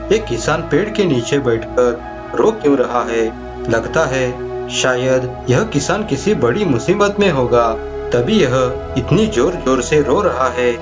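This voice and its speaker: A man acts out a noble vulture king from a cartoon fable. He is kind yet commanding, speaking in earnest, formal declarations at a middling pitch. Clear music plays behind him. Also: natural voice. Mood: concerned. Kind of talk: cartoon moral story voice acting.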